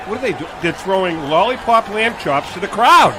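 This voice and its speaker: A man hosting live radio coverage of a parade, giving excited comic commentary and bantering with his co-hosts. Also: high-pitched, moderate pace, background noise. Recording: loud music and crowd behind, outdoors. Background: parade band music and crowd.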